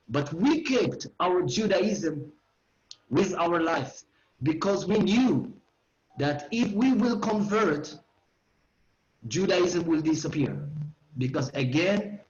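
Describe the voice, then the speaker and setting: A man's voice: high voice, a little mellow. A man in his forties with an Israeli accent, giving an online history lecture in simple phrasing addressed to his listeners. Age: 40s. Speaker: educational tour guide giving a lecture. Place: living room at home. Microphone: video call. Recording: roomy, slightly dull video-call audio.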